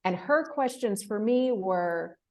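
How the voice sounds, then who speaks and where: Animated, laughing woman telling her story. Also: mid-range voice, takes it slowly. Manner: animated, laughs often, acts out quoted voices. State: emotional, close to tears. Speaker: interview guest, fellowship winner. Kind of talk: casual interview storytelling.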